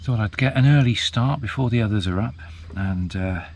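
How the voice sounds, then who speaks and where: A man in his fifties, chatting casually to the camera outdoors in a field, relaxed and rambling with plenty of ums. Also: on the low side, moderate pace. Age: 50s. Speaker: vlogger. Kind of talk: casual vlog chat.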